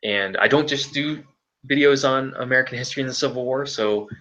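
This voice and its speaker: Bright-toned man, measured and hesitant. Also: mid-range voice, poor audio. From Hawaii. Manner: measured, hesitant with frequent pauses. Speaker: guest, history video creator. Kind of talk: podcast discussion.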